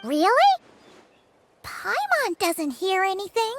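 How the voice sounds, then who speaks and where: A woman voicing a tiny, excitable, chatty fairy companion in an English game dub, exclaiming and talking in the third person. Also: very high voice, slow, background noise, heavily acted. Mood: surprised.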